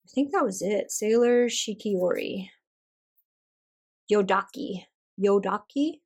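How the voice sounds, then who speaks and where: A woman casually chatting through an ink swatching session at her desk, relaxed and self-deprecating, her voice a little hoarse from a cold. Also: medium pitch, slow pace. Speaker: stationery vlogger.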